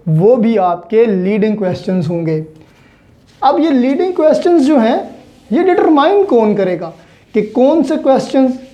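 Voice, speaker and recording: A Pakistani woman delivers an explanatory lecture as a law teacher. Her voice is mellow and mid-pitched, and she takes her time. She is didactic, restating points and dropping in English legal terms. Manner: didactic, repeats points for emphasis.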